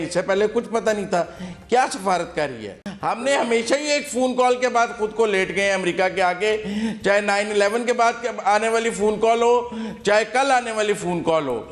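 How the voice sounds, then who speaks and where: A man's voice: high voice, normal speed. A man speaking as a political analyst in heated TV commentary, indignant and sarcastic.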